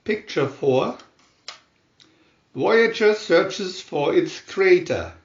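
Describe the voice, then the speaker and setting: A man reading scripted storybook narration in a deliberate, dramatic announcing manner. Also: high voice, lively intonation. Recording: dull, echoey room recording.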